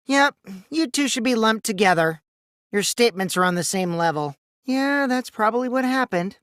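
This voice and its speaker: A man's voice: sits high, dry recording, studio quality, exaggerated voice. A man voicing a mischievous, childish teenage boy who lies and schemes, in a youthful, teasing, theatrical video game performance. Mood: gleeful, dramatic. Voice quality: boyish, youthful put-on voice. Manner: teasing, theatrical, swinging from singsong to hushed menace. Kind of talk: English video game voice acting.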